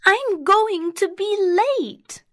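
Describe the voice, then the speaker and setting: A trusting little fairy-tale girl, voiced by a woman narrator, sweet and childlike. The voice is lifted high and moves slowly and carefully, with lively intonation. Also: exaggerated voice. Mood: worried.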